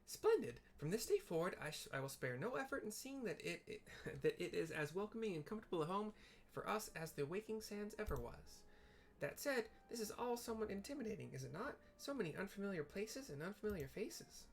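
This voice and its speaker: Man putting on a high, chirpy young woman. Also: very animated, a little fast, gravelly, slight background noise, exaggerated voice. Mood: delighted. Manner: bright, exclaiming, formal polite wording. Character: high, cheerful, chatty young woman receptionist.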